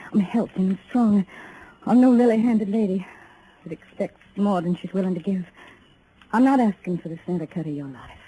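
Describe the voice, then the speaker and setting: A woman's voice: fairly low pitch, dark tone, natural voice. An actress playing a plainspoken, earnest young frontier woman in an old radio western. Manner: plain rustic speech. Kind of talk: 1950s radio western acting. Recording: noisy old radio drama recording.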